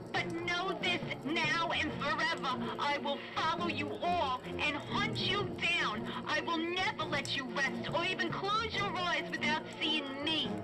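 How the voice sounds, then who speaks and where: A woman acts out a condemned woman swearing revenge in a high voice over loud music. She is menacing and theatrical, in the manner of an old radio horror play. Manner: dramatic, menacing. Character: vengeful condemned woman cursing her executioners.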